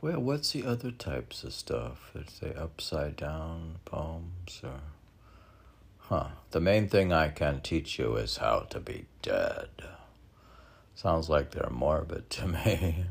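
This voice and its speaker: A man's voice: a bass voice, gravelly, breathy edge. A man reading his own poetry, drifting into musing, meandering asides as if talking to himself. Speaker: poet reading own work.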